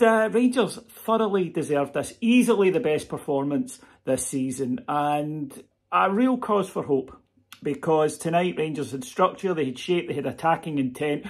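A man in his forties talks straight into a close webcam from his memorabilia-filled room at home. He sits a little higher than most men and gives his solo football reaction with lively energy. He often stops for fillers and restarts. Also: vocal fry. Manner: expressive, animated delivery with frequent fillers and restarts. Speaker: podcast host.

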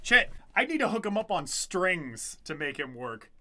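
Animated, high-pitched young man streaming games. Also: moderate pace. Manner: animated, joking, swearing. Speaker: game streamer. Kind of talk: casual livestream commentary reading chat aloud. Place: small dim room indoors. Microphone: desk microphone close in front.

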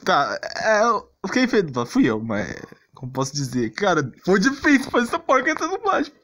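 Brazilian guy with a lively, high-set voice. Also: brisk. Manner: informal, giggly. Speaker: gameplay video creator. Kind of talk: casual game reaction commentary.

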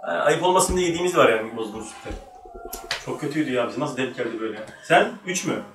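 A man's voice: medium pitch, lively intonation, fast, over music. A man in his thirties bantering casually as a quiz show host, teasing and exclaiming, at a table in a small studio. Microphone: shared table microphone. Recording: roomy, distant mic, faint noise.

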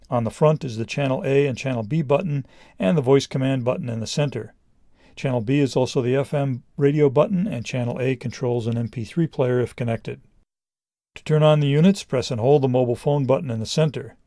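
A middle-aged man reading a scripted product review in a measured, explanatory way. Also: medium pitch, warm tone, no echo, studio quality.

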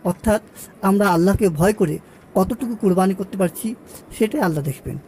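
A man's voice: high-pitched, fairly quick, darkish tone, slight background noise. A man voicing a pious, earnest uncle who advises in a preachy way in an amateur cartoon. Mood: earnest, correcting. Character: pious, earnest uncle giving gentle moral advice.

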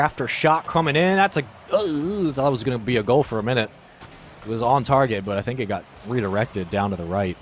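Relaxed male esports co-caster. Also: on the low side, slight background noise. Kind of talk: esports color commentary.

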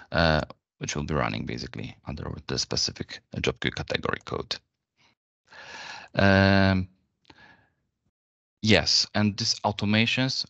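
A deep-voiced man gives an unscripted software demonstration. He explains each step in a halting manner. The sound is dry and a little lo-fi, like online webinar audio. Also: no echo. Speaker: software presenter.

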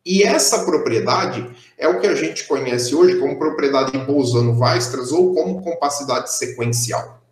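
A young man gives a math lecture over a video call. He explains steadily and fills his pauses with "é". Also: mid-range voice, echoey room. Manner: explanatory, steady, with frequent "é" fillers. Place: indoor room, on a video call.

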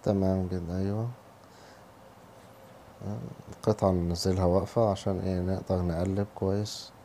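A hoarse, low-voiced man with an Egyptian accent talks through a home recipe in a casual, step-by-step way. He speaks fast with a dark, mellow tone. Faint kitchen noise sits behind him. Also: flat delivery. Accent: Egyptian Arabic. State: hoarse from a cold. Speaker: home cooking channel host. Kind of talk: cooking tutorial voice-over.

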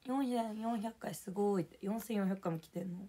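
Young female streamer, voice hoarse, casual chatty delivery, reads viewer names out between topics. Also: medium pitch, normal speed, dark tone, a little hiss or hum. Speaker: livestreamer. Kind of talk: casual live stream chat, thanking viewers for gifts.

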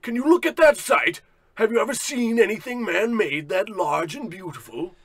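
High, bombastic, pompous tycoon. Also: male voice, expressive, normal speed, husky, no echo, full character voice. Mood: boastful, scornful. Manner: bombastic, self-important. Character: pompous boastful male industrial tycoon, bullying boss. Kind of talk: parody of old radio drama acting.

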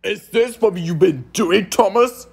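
A man voicing a boastful, scornful male steam engine in a cartoon audition, with a pompous, theatrical, sneering delivery and a raspy, gravelly voice. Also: high-pitched, unhurried, heavily acted. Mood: triumphant, scornful.

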